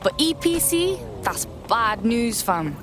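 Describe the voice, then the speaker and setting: An actress playing a streetwise young London hacker activist in a game, with a slangy, blunt, casual delivery. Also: female voice, fairly high pitch, very animated, slow, over music. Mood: concerned, determined. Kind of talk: game voice acting. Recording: clear background vehicle noise.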